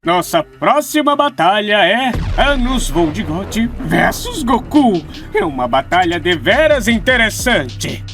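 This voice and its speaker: Man playing a high-pitched brash anime hero, Brazilian. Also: sing-song, slow, hardly any pauses, over music, exaggerated voice. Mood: assertive, competitive. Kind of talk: scripted animated debate, announcer flourishes.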